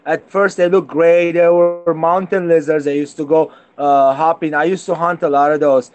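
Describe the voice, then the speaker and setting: A man from Lebanon with a Lebanese accent, chatting casually as a guest and halting as he searches for words. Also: on the high side, fairly even intonation, darkish tone, clean tone, lo-fi sound. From Beirut, Lebanon. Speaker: guest reptile keeper. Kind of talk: casual reminiscing in conversation.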